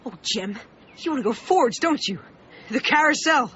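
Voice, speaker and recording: High-voiced anxious boy. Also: lively intonation, moderate pace, bright, forceful tone, lo-fi sound, a little hiss or hum, heavily acted. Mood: pleading, angry. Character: Will, anxious loyal boy narrating his adventure. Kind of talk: radio drama acting and narration. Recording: band-limited radio broadcast with music underneath. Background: dramatic music.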